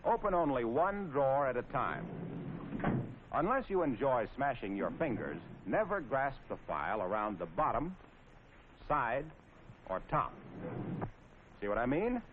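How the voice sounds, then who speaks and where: A man's voice: medium pitch, big pitch swings, a little slow, long pauses. An American man narrating in 1940s training film style, wry, folksy and playfully sarcastic. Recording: scratchy, tinny 1940s film soundtrack with noise.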